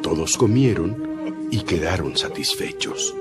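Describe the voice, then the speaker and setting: A man with a very low voice narrates a dramatized audio Bible. His delivery is expressive and rises and falls widely, telling the story with emphasis over loud music. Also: takes it slowly.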